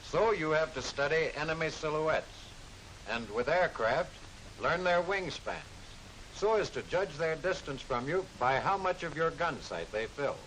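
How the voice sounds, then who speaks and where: A man's voice: lively intonation, a little slow, fairly bright tone, poor audio. An American man reading scripted narration for a 1940s military training film, instructing the trainee directly. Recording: hissy 1940s film soundtrack, rumbling noise.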